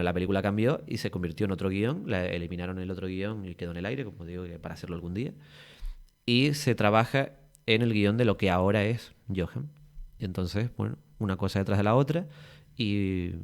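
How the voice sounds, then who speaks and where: Canarian male actor guest, low-ish voice, chatty and rambling. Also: fast. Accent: Canary Islands Spanish. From Tenerife, Canary Islands. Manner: lively, rambling storytelling with many restarts. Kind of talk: casual podcast interview.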